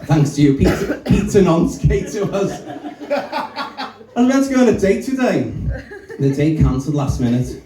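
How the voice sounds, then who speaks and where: Young man, brash and self-mocking stand-up, echoey club, mic at a distance, crowd laughing loud. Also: sits high, very animated, normal speed. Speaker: stand-up comedian. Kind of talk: stand-up set with crowd work. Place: small comedy club bar.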